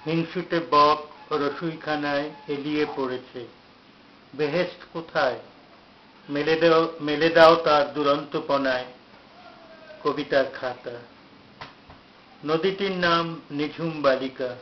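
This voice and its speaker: A man with a slightly high voice recites his poetry very slowly. His phrasing is measured and declamatory, broken by pauses. The recording is dull and lo-fi, with faint noise behind him. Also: steady tone. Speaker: poet reciting own poem.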